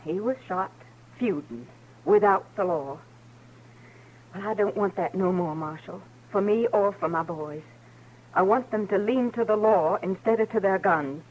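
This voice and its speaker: An actress with a rural American accent playing a weary, dignified frontier mother in a radio western, earnest, folksy and a little halting. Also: medium pitch, lo-fi sound, lightly acted. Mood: solemn, resolute. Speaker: radio actor. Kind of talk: old-time radio western acting. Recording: noisy vintage radio recording with hum.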